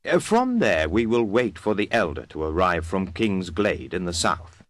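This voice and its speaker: A man's voice: low-pitched, very animated, moderate pace, a bit bright, dry recording. An actor playing a practical male adviser who briefs his commander in a fantasy game. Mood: practical, informative. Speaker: briefing narrator.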